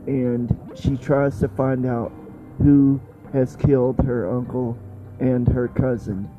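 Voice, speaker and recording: A man hosting a podcast, introducing and summarising what is coming up. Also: mid-range voice. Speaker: podcast host. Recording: dull lo-fi, faint music behind.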